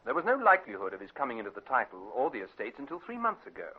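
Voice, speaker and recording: An actor playing a shrewd, unruffled private detective in a British radio drama, with a clipped, authoritative delivery. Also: male voice, medium pitch, huge pitch swings, very fast, slightly rough, muffled recording. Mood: explanatory. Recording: band-limited vintage radio recording.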